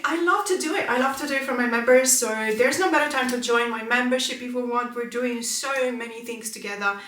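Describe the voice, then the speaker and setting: Bright-voiced woman. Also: on the high side, echoey room, recorded from a distance. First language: Italian. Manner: earnest, many fillers and restarts. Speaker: tarot channel host. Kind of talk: casual haul vlog.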